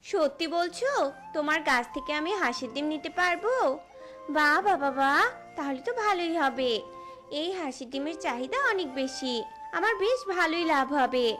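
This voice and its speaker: A woman voicing an excitable, hardworking village mother in a cartoon fairy tale, exclaiming and repeating words. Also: high-pitched, moderate pace, soft background music. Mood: delighted.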